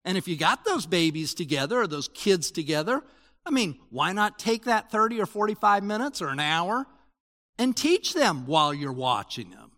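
A man preaching a sermon as pastor, explaining scripture in a conversational, animated way with frequent restarts. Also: sits high, moderate pace, long reverb tail.

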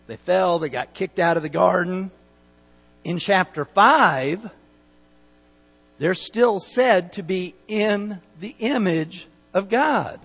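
A middle-aged man preaches with a light Texas accent and a fairly high voice. He leans hard on key words, stumbles into repeats and drops folksy asides. His voice rings out with the long reverb of a large sanctuary. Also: takes it slowly, vocal fry, fairly bright tone, husky. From Utah. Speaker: pastor. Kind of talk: sermon.

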